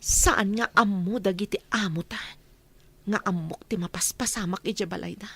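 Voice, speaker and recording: A woman's voice: medium pitch, normal speed, a bit bright, slightly rough, no echo. An actress playing a determined, scheming mother who longs for her child, in an Ilocano radio drama.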